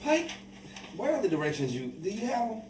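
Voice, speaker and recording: Radio comedy driver, played by a man: flustered, defensive, repetitive, very animated, in a roomy, noisy recording. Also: high voice, fairly quick. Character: excitable, defensive, bumbling man driving a cramped car. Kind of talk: old-time radio comedy acting. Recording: roomy, distant, clearly noisy.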